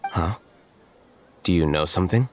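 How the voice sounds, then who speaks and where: A man playing a composed, coolly rational scholar who speaks in a measured, detached way in a video game. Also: low-pitched. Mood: cool, doubtful. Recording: music playing behind.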